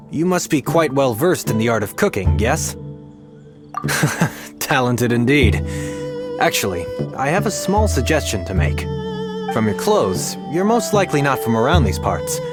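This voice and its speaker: A man's voice: mid-range voice, close to an everyday voice. A man playing a polite, obliging innkeeper in a fantasy video game, formal and chatty. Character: courteous, obliging male innkeeper of a fantasy inn. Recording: music playing behind the voice.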